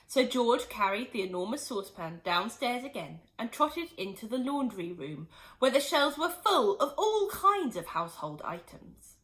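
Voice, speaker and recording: A woman teacher reading a children's book aloud in an expressive, animated way. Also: on the high side. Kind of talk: storybook read-aloud.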